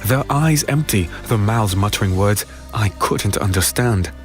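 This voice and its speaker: A man playing a weary, brooding fantasy hero who narrates his own dark tale in a deliberate, somber, dramatic way. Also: fairly low pitch, lively intonation. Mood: ominous, tense. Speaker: narrator and lead character. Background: music.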